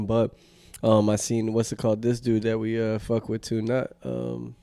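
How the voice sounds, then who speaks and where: A man's voice: fairly low pitch, level tone, a little slow, dark tone, dry recording. A young man co-hosting a podcast, asking questions in a laid-back, profane way. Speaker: podcast co-host. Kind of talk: casual chat.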